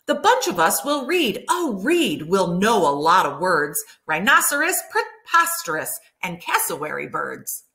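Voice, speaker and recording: A middle-aged woman reads a children's picture book aloud like a teacher, animated and clearly enunciated for young listeners. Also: fairly low pitch, unhurried, hardly any pauses. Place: room at home by a window, indoors.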